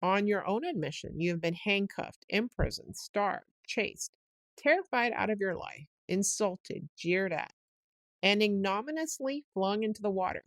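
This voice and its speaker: A woman's voice: mid-range voice, animated, a little slow, no room sound, low-quality recording. A woman voicing a stern male water rat who lectures his friend in a scolding, emphatic way. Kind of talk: storybook reading.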